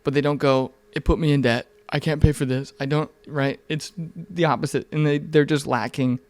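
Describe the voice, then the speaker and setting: A man's voice: a little high, steady tone, normal speed, darkish tone, dry recording. A man in his mid twenties chatting candidly on a podcast in an informal, rambling, earnest way, with some swearing. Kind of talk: casual, candid podcast conversation.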